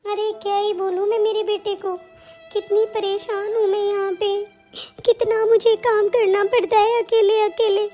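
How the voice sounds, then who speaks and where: A woman's voice: very high-pitched, flat delivery, moderate pace, mellow, faint music behind. A woman voicing a long-suffering elderly mother-in-law in a family cartoon drama, meek and complaining. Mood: plaintive, lamenting. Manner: plaintive, complaining, placating.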